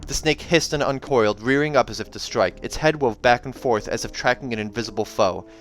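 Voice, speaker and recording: A man reading a fantasy novel aloud in an expressive narrating style, as a reader who also comments casually. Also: mid-range voice, fairly quick.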